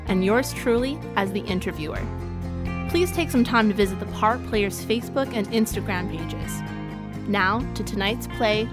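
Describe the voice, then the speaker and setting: Woman radio host over clear music. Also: medium pitch. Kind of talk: scripted radio drama introduction.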